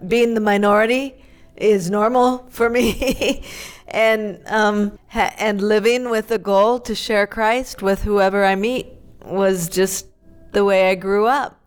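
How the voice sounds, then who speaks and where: A woman's voice: medium pitch, fairly even intonation, takes it slowly, clean tone. A woman who grew up in Tanzania, Ethiopia and Pakistan, telling her personal story earnestly and reflectively as a missionary guest in an interview.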